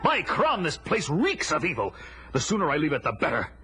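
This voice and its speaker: A man's voice: a little high, huge pitch swings, fairly quick, music in the background. An actor playing a proud, vengeful barbarian warrior who tells his tale in a grandiose, declamatory audio drama style. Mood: alarmed, intense. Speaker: hero and narrator.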